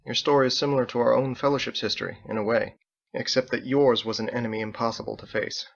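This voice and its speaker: A man's voice: mid-range voice, steady tone, gravelly. Man acting an earnest, formal paladin leader, muffled recording. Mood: confiding. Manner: formal, measured, courteous phrasing.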